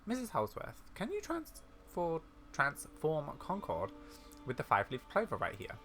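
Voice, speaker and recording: A man's voice: on the high side, huge pitch swings, a little slow, a bit bright, faint music behind, pitched above the performer's own voice, exaggerated voice. A man voicing an earnest young woman from a video game, pleading urgently for magical help. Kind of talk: video game quest dialogue read aloud.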